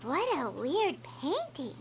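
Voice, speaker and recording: A woman voicing a tiny, childlike, timid and excitable fairy companion in a video game, with cutesy, wide-eyed delivery. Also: high voice, takes it slowly, full character voice. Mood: curious, uneasy. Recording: loud game music behind.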